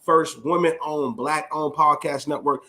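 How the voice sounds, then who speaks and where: A man from the Bronx, co-hosting a podcast in energetic, slangy talk and reading a guest introduction. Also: fairly high pitch, measured pace. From the Bronx, New York. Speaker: podcast co-host. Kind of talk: hype podcast chat with read intro.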